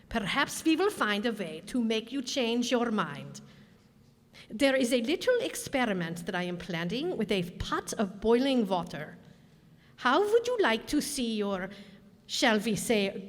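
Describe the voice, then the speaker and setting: An actress playing a scheming onion leader in a radio play, formal, condescending and falsely courteous. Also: female voice, a little high. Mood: menacing. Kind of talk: stage radio drama acting. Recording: reverberant hall, stage performance.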